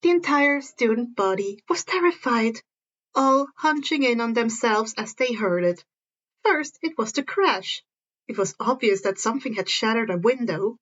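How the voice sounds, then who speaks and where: A woman's voice: fairly high pitch, lively intonation, normal speed, fairly bright tone, dull audio. A woman narrating a fan story informally, sometimes stumbling over words and rereading them. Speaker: narrator reading fan fiction.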